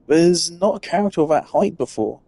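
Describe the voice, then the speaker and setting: A man's voice: fairly high pitch, expressive, brisk, gravelly, warm tone, breathy edge, dry recording. A man giving casual, wry reaction commentary as a game streamer, musing and trailing off. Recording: game music behind the voice. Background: game music.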